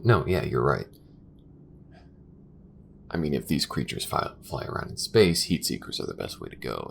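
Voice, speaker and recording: A man playing a weary, grudging but caring spaceship crewman in an audio roleplay, talking in a halting, conversational way. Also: extremely low pitch, creaky, a little hiss or hum, exaggerated voice. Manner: halting, conversational, muttering at times. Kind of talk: intimate audio roleplay acting.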